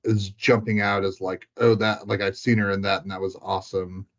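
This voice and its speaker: A man's voice: low-pitched, flat delivery, moderate pace, lo-fi sound. A man chatting casually and informally about films, thinking aloud as he goes.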